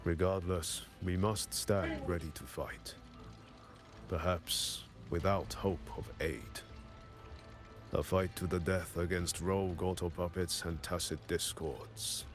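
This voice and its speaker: A man acting a grave, resolute commander of an old hold in a video game, speaking in a measured, solemn way. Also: low-pitched, steady tone, music in the background. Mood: grave resolve. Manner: measured, solemn, deliberate delivery.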